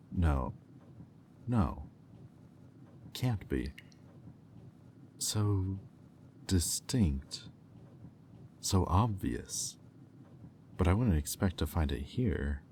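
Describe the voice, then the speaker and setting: A man playing a smug, predatory demon in a roleplay audio drama, teasing and taunting in a silky manner with deliberate pauses. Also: deep voice, mellow, slight background noise. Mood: intrigued, puzzled. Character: smug, predatory male demon toying with a captive angel.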